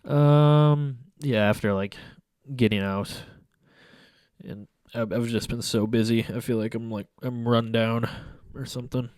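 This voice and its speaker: A man's voice: mid-range voice, steady tone, unhurried, a little mellow, clean tone, no room sound, clean studio sound. A young man with a stuffy, congested nose, casually reviewing his photos in a rambling, hesitant way full of fillers and restarts. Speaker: photography vlogger. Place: small room at home by a window.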